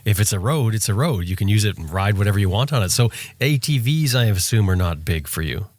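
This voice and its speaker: Podcast host, male, lowish voice, enthusiastic reactions, dry sound. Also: expressive, quick pace, a little mellow, no room sound. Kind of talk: casual interview conversation.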